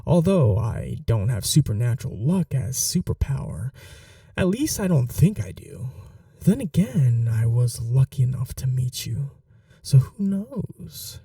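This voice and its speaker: A man's voice: medium pitch, big pitch swings, a little slow, gravelly, dark tone, dry recording, clean studio sound, recorded from a distance, exaggerated voice. A man voicing a playful, smitten yandere supervillain in an ASMR roleplay, chatty, vain and flirtatious, musing aloud with casual menace. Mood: playful, menacing. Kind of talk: ASMR roleplay monologue to the listener.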